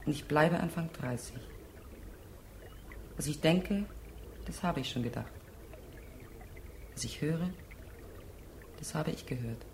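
A woman's voice: deep voice, takes it slowly, pauses often, a little mellow, slight background noise. A resigned, detached dead woman. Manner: detached, incantatory, repetitive. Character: dead woman in early thirties, resigned, incurious. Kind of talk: German radio drama acting.

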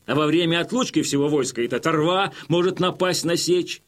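Casting: male actor for wily Cossack leader, fairly high male voice, folksy measured oratory, audio drama. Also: close to an everyday voice. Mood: deferential, persuasive. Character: wily Cossack chieftain, feigning humility, addressing his warriors.

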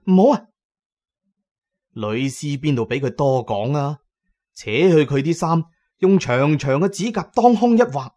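A male storyteller with a medium-pitched voice narrates a horror tale. He is theatrical and emphatic, leaning on key phrases and talking to listeners in a colloquial way. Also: darkish tone.

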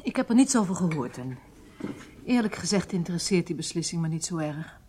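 A woman's voice: fairly low pitch, sing-song, a little fast, mellow, slight background noise. An actress playing a disheartened, loyal female intelligence worker in a radio drama, wistful and emotional in an informal way. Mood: dejected.

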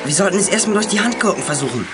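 A man's voice: sits high, expressive, very fast, noisy background. An actor playing a worried, sensible teenage boy detective in a children's radio play, speaking earnestly and urgently. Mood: urgent, concerned. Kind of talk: 1980s German children's radio play acting.

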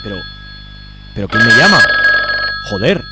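A man's voice: medium pitch, sing-song, normal speed, close to an everyday voice. A man playing an anguished, grumbling, foul-mouthed villager in a comic radio serial. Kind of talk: comedic radio serial acting. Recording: loud background noise, clicks, ringing phone.

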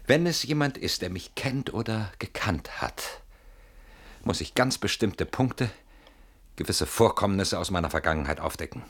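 A deep-voiced man in a radio drama, anxious and brooding. He reasons aloud in an articulate, ironic way, always on the defensive, with a theatrical delivery. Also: lively intonation, moderate pace. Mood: brooding, analytical. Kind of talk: German radio play acting.

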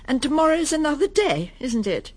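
A woman playing a prim, weary, middle-aged English lady in a radio drama, halting and polite, murmuring to herself with an English accent. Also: sits high, big pitch swings, no room sound. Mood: strained politeness. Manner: polite, halting, breathy murmured self-talk. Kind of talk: radio drama acting, intimate monologue and dialogue.

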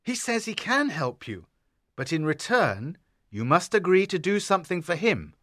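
Lady doing a loyal knight guy, earnest and worried, pitch swinging all over, low-ish. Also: moderate pace, no room sound, clean studio sound. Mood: excited. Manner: earnest, excited. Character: loyal concerned male knight.

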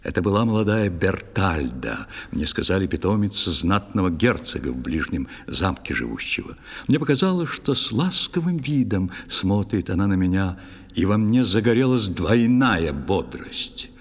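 Animated, husky young knight storyteller. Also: male voice, low voice, moderate pace, long reverb tail, full character voice. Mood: animated recollection. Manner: dramatic, expressive declamation. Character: young knight, proud and ardent, telling his adventures. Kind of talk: theatrical verse storytelling in children's audio play. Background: faint music.

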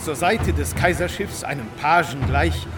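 Man as a highish-voiced, grandiose chronicler. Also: moderate pace, natural voice. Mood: reverent, grand. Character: florid, reverent male chronicler of an imperial voyage. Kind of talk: literary radio play narration. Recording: loud music behind.

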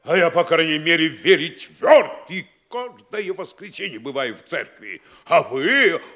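A man's voice: a little high, very animated, unhurried, bright, forceful tone, heavily acted. An actor playing a pompous middle-aged town mayor who lectures and scolds his officials theatrically in a classic radio play. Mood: indignant.

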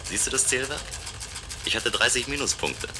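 An actor playing a restless, playful man of a future city in a German radio play, with a measured, musing delivery. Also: mid-range voice, animated, heavy background noise, natural voice. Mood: playful, competitive.

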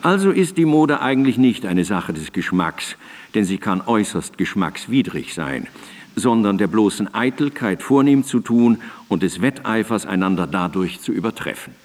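Didactic philosopher in a reverberant hall. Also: male voice, lowish voice, big pitch swings, normal speed, a little mellow. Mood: didactic, wry. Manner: precise, emphatic, didactic. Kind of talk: radio drama monologue, formal scholarly recitation. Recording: reverberant hall, radio drama on cassette.

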